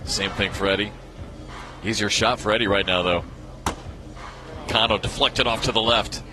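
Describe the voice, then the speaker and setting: A man giving live televised play-by-play commentary on a championship match, in an excitable manner that builds with the action. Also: on the low side, moderate pace, music in the background.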